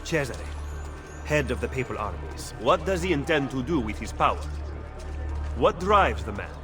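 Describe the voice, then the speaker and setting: A cool, calculating schemer voiced by a man talks in a measured, dry mid-range voice, probing with every line. Game music sits clearly behind the dialogue. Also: mid-range voice, big pitch swings, close to an everyday voice. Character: shrewd male political schemer, skeptical and cool. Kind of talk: video game cutscene dialogue.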